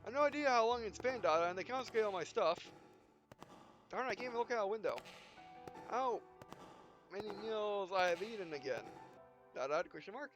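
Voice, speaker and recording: A man doing a casual, halting read-aloud of a visual novel for a gameplay video, speaking punctuation and speaker tags as he goes. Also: high voice, lively intonation, fairly bright tone. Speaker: let's player reading visual novel text aloud. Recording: dull, lo-fi sound with music behind. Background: game music.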